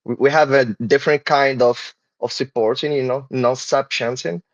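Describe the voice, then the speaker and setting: A man's voice: mid-range voice, steady tone, moderate pace, no echo. A man from Morocco hosting a casual podcast chat, talking with many fillers and restarts. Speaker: podcast host. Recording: dull lo-fi dry sound.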